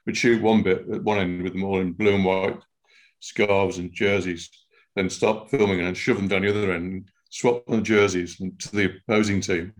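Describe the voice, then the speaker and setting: An older man with a Scouse accent, a TV writer guest recalling past work in a relaxed, anecdotal way with frequent "you know". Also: low-pitched, moderate pace, poor audio. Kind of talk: casual interview.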